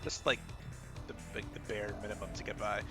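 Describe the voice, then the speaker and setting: A man's voice: lowish voice, little pitch movement, a little fast. A man giving casual, unscripted coaching commentary on a game replay, thinking aloud in an informal, hesitant way and repeating himself. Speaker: gaming video creator giving match analysis. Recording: game music and battle sounds behind voice. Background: loud fighting game music and combat effects.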